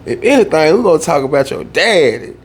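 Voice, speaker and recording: A young man from the Southern US telling a family story in a laid-back, slangy way that turns animated when he gets worked up. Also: on the high side, a little fast, slight background noise. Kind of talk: casual storytime.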